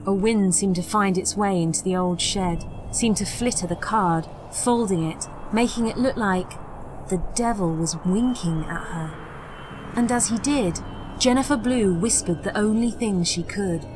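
A woman's voice: mid-range voice, measured pace, background noise. A woman reading a scripted horror audiobook as narrator, with a dramatic, suspenseful delivery.

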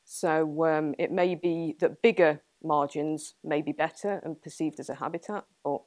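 A young Englishwoman with a slightly low voice fields questions in a small meeting room, picked up by a camera at a distance. She thinks out loud, hedges her points and laughs softly between them. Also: fairly even intonation, normal speed, dry recording. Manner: thoughtful, hedging, laughs often. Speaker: guest speaker, entomologist. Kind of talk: answering audience questions after a talk.

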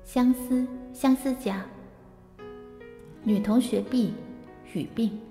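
A woman's voice: a little high, slow pace. A woman reading scripted credits as an announcer for an audio drama. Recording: roomy, long reverb, music behind. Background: soft music.

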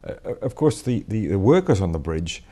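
A man's voice: low voice, sing-song, moderate pace, mellow. An older man interviewing a business founder, asking probing conversational questions, often with tag questions. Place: high-rise office meeting room. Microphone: lapel mic.

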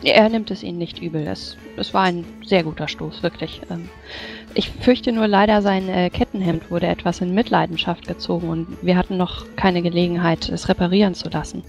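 A woman's voice: on the low side, steady tone, fairly quick, gravelly, darkish tone. A woman playing a polite, practical mage in a tabletop game, speaking formally and courteously. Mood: deferential. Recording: dull microphone, background music.

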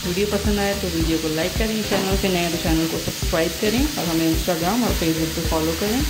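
A woman hosting a cooking channel, calmly talking through a recipe step by step in a voice-over. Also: on the low side, a little fast, reverberant, poor audio. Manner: steady step-by-step instructing. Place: home kitchen.

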